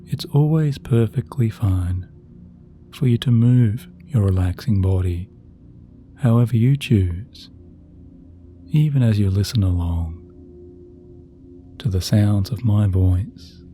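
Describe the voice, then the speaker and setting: Guy doing sleep hypnosis voiceover, super mellow and soft, slow and gentle, calm music in the background. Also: fairly low pitch. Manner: slow, soothing, gentle, measured phrasing. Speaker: guided meditation narrator. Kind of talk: sleep hypnosis meditation, scripted narration. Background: relaxing background music.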